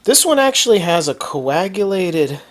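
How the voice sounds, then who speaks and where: A man with a stuffed-up nose from a cold, chatting through a casual product review in a relaxed way, with frequent ums and restarts. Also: sits high, normal speed. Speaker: video maker reviewing products.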